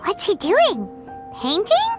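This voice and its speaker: A tiny, cheeky floating fairy girl from a video game, with an extremely high, squeaky voice that swoops wildly. She talks like a greedy small child, with music playing behind her. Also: huge pitch swings, slow, full character voice. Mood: curious, cheeky. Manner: speaks of herself in the third person. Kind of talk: video game cutscene acting.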